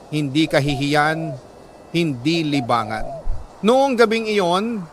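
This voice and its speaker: Filipino-accented man, radio storyteller, slightly high voice, measured emotive phrasing, dramatic letter reading, faint background noise. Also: fairly bright tone. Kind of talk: dramatic first-person scripted narration.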